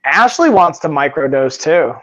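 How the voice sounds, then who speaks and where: A man's voice: on the high side, sing-song, moderate pace, fairly bright tone. A man co-hosting a live podcast in a laid-back way, mostly giving short agreeing replies. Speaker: podcast co-host. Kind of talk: casual live-stream chat. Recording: slightly dull lo-fi sound.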